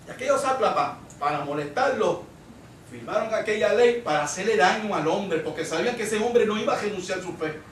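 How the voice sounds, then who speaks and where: A man's voice: sits high, lively intonation, brisk, a little hiss or hum. A man with a Puerto Rican Spanish accent preaching a Bible-study sermon, emphatic and repetitive, putting questions to his congregation. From Puerto Rico. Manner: emphatic, repeats phrases, asks the congregation rhetorical questions. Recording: roomy echo, distant microphone.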